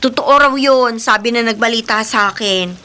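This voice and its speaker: A woman's voice: on the high side, normal speed, sharp tone, smooth. A woman playing a blunt, gossipy young Filipina friend with emphatic horror radio drama delivery. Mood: spooked, gossipy.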